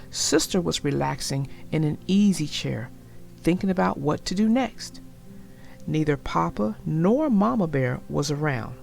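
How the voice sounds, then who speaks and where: A woman narrating a children's storybook aloud in a deliberate, expressive way. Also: lowish voice, vocal fry.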